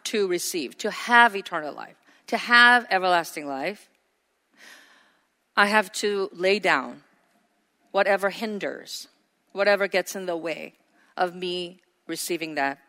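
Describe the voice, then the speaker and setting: A woman's voice: mid-range voice, bright, forceful tone. A woman pastor preaching a sermon, earnest and asking her congregation questions. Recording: hall reverb.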